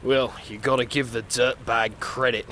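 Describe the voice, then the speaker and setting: A man's voice: medium pitch, fairly even intonation, moderate pace, sharp tone, slight background noise, natural voice. An actor playing a brash, irreverent male criminal mercenary in a radio drama, casual and blunt.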